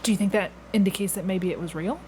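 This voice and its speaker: Female podcast host, casual and inquisitive, mid-range pitch, rewords questions on the fly, slight background noise. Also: fast talker, mellow. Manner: inquisitive, rephrases questions as she goes. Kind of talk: casual chat.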